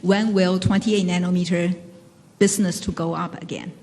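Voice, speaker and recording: A woman's voice: fairly low pitch, fairly even intonation, normal speed, dark tone. A woman moderating an earnings conference call, restating questions and fielding them for the executives. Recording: long hall reverb.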